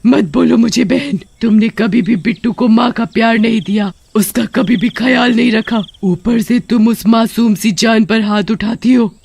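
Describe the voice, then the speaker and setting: A woman voicing a vengeful but motherly ghost witch in an animated horror story, emphatic and full of feeling. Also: fairly high pitch, normal speed, gravelly, few pauses, husky. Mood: angry, accusing. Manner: accusing, emphatic, emotional. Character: vengeful, motherly female ghost witch, a wronged young aunt.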